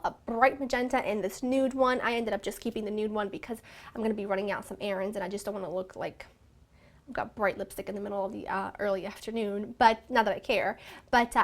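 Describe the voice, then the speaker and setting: A woman in her thirties, a little raspy from a cold, chatting through a makeup tutorial to her viewers from a small room at home. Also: a little high. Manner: chatty, gushing, warm address to viewers. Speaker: beauty vlogger.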